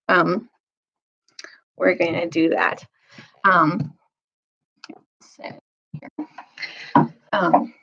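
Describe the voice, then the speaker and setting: Woman, storytime reader, husky voice, casual halting delivery, slightly dull lo-fi recording. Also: medium pitch, fairly bright tone. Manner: halting, informal asides. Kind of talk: reading a children's novel aloud, casual recap.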